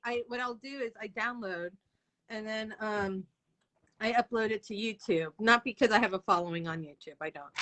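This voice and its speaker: A woman's voice: mid-range voice, pushed and bright. A chatty, informal woman hosting a casual live interview. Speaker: interviewer and live-show host. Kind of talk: casual chat.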